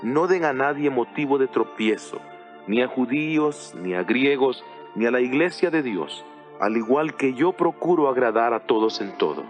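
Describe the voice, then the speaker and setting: A man's voice: mid-range voice, animated, close to an everyday voice. Earnest apostle exhorting believers, expressively acted by a man. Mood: earnest instruction. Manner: solemn, exhortative, deliberate phrasing. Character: Apostle Paul, earnest male teacher exhorting his congregation. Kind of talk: dramatized audio Bible reading. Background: music.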